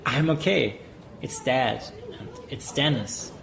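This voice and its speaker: An actor playing a shaken man who hesitantly consoles someone in an audio drama, halting and repeating himself. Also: mid-range voice, animated, vocal fry, a crowd in the background. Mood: shaken, resigned.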